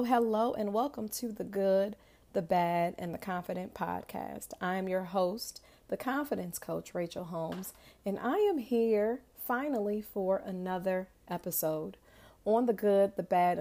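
A woman hosting her own podcast as a confidence coach, talking casually and emphatically with a hoarse, raspy voice. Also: medium pitch, mellow. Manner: chatty, emphatic, frequent "right?" and "um". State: hoarse, raspy voice after illness.

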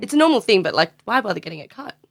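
A woman's voice: medium pitch, very animated, very fast, gravelly, no room sound, studio quality. A woman co-hosting a podcast, teasing and laughing in casual chat. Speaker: podcast co-host.

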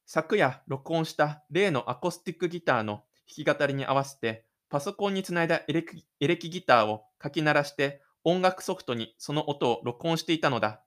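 A male narrator tells a story in an audio drama. His voice is a little higher than average, and he reads in a scripted, literary style. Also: animated, fairly quick, few pauses, fairly bright tone.

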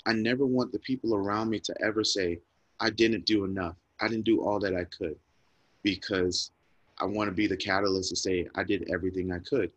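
Earnest low-voiced man, dry sound. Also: steady tone, brisk, no echo, lo-fi sound. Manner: earnest, reflective, repeats words when moved. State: emotional, near tears. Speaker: guest speaker. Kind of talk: emotional personal storytelling.

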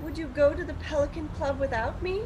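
A woman's voice: high voice, fairly quick, close to an everyday voice. A woman playing a sassy, wisecracking young sweetheart in a vintage-style radio play, recorded with noise. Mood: excited. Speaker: actor in live radio theatre.

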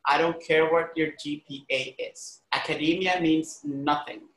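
A bright, forceful man's voice, pitched a little high, in a roomy recording. He is from Honduras and talks as an interview guest, earnest and emphatic, in a conversational way full of small filler phrases. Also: fairly even intonation, measured pace. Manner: earnest, emphatic, frequent "like" and "you know". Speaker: arts critic and educator, podcast guest. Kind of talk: conversational interview, personal storytelling.

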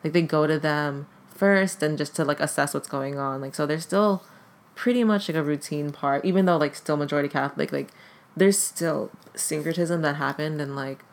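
Gravelly-voiced guest, Tagalog native, halting. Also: medium pitch. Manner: hesitant, heavy use of "like" fillers. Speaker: podcast guest. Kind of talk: casual conversation.

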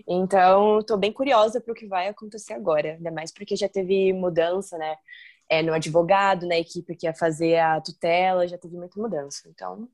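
A woman's voice: on the low side, steady tone, normal speed, a little mellow. A young woman hosting a podcast, chatting casually and explaining things with lots of tag questions. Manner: chatty, explains with many "né?" tags. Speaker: podcast host.

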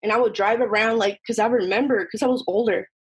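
Husky young woman chatting. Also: fairly high pitch, steady tone, fast, poor audio. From northern Mexico. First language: Spanish. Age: young adult. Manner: informal, heavy use of "like", quoting dialogue. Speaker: podcast participant. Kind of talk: casual personal storytelling.

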